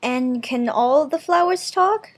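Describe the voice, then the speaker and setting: A woman plays a curious little girl in a storybook. The voice is pitched high. It is earnest and polite, with a questioning way of talking. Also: slow. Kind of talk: storybook character voice.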